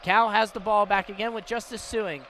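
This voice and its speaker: A man's voice: high-pitched, steady tone, normal speed, a bit bright. An American man doing live radio play-by-play commentary of a basketball game. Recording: live broadcast with clear background noise. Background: basketball game ambience, bouncing ball.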